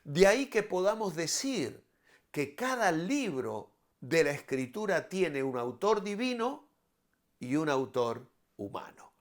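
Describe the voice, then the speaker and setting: Male pastor, higher-pitched voice, lively intonation, didactic, emphatic phrasing, devotional teaching. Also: slow pace. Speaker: pastor giving a daily devotional. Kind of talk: devotional Bible teaching with scripture reading.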